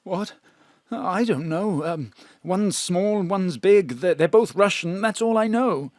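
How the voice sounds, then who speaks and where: A man's voice: high-pitched, slow, a little mellow, no echo, clean studio sound. An actor playing a frightened male drag performer, with a theatrical, swooping storytelling manner. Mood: nervous. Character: male drag performer Bruno, also Mona, frightened fugitive. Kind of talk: audio drama acting. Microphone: home studio mic.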